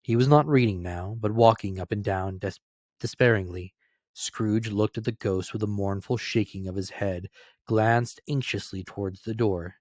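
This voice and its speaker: A man's voice: fairly low pitch, normal speed, darkish tone, no room sound, clean studio sound. A man narrating a classic story as a dramatic reading.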